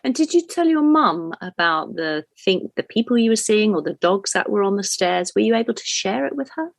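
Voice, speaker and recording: A woman's voice: mid-range voice, expressive, brisk, creaky, no echo. Effusive woman podcast host, dry sound. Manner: effusive, full of praise words. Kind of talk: warm podcast interviewing.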